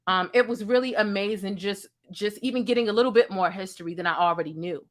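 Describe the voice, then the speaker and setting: A woman with a deep voice hosts a live online commentary show. She talks straight to her viewers, leans on repeated lines for emphasis and keeps asking the chat questions. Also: fast. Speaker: YouTube commentary host. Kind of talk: livestream commentary.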